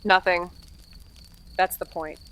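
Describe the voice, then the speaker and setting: An actress playing a weary, wry amateur investigator in a noir audio drama, with measured, deadpan delivery. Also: female voice, on the low side, clear, resonant tone. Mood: grim, firm. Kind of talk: scripted noir audio drama, narration and dialogue.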